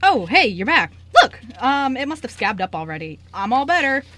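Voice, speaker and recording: A woman's voice: fairly high pitch, very animated, normal speed, fairly bright tone. A woman playing a breezy young male journalist with secret magic in an amateur radio play, talking in a casual, joking, slangy way. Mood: breezy, evasive.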